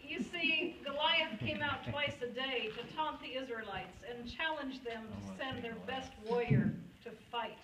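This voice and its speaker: A woman's voice: sits high, measured pace, hardly any pauses, a crowd in the background. A woman narrating a puppet show in a storytelling style. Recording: echoey room, distant mic, clear noise.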